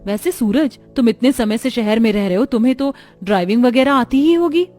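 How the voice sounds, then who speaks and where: A woman's voice: a little high, fairly quick, darkish tone, lightly acted. A woman voicing an educated, resolute young city wife in a cartoon story. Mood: persuasive.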